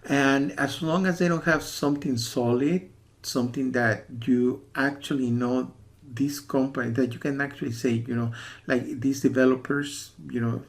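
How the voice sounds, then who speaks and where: Male reviewer from the US, mid-pitched with a creaky, gravelly edge; rambling, insistent, repetitive, casual warning delivery. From USA. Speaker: software reviewer.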